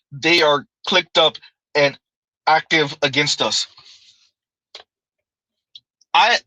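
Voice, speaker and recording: Guy on a live stream reading chat, bright punchy voice, keeps saying right?, laughs a lot, dry close mic. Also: fairly high pitch, no echo, poor audio. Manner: conversational, repeats "right?", laughs often. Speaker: streamer reading viewer comments. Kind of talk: casual live-stream commentary.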